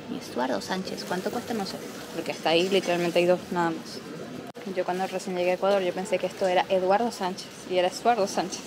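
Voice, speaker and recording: A woman's voice: fairly low pitch, noisy background. Chatty Venezuelan woman vlogging. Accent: Venezuelan Spanish. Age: late 20s. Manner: chatty, playful, teasing. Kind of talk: casual vlog chat to camera. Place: shop aisles, then a small living room. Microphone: handheld camera at arm's length.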